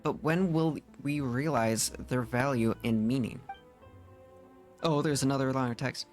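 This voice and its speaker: A woman with a very low voice streams a story game. She reads the dialogue aloud and drops in casual asides. Music plays softly behind her. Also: measured pace.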